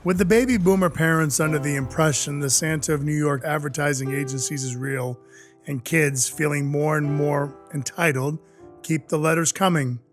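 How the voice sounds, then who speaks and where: Audio play role: man as Santa's sardonic dwarf enforcer, officious and deadpan, slightly high voice, music underneath. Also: moderate pace, close to an everyday voice. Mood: sardonic storytelling. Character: gruff, sarcastic male dwarf, Santa's naughty-list enforcer. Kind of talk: audio play narration and dialogue.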